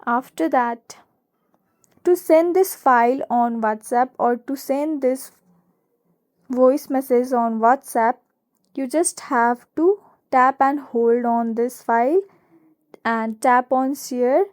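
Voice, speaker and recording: A woman with a high voice narrates a how-to guide as its host. She speaks slowly in an instructional, step-by-step manner, reading from a script. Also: a little mellow. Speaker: tutorial host.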